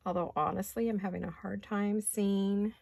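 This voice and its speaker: A woman with a raspy voice from allergies, chatting casually to viewers as she crafts in her home studio, often talking to herself and slipping into whispers. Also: mid-range voice, fairly even intonation, normal speed, darkish tone, dry recording. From California. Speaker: craft vlogger. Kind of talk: casual craft chat while working.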